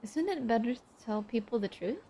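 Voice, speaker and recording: A woman's voice: on the high side, lively intonation, a little fast, background noise. A woman streaming a game, chatting casually and reacting aloud with giggles. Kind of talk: casual commentary over gameplay.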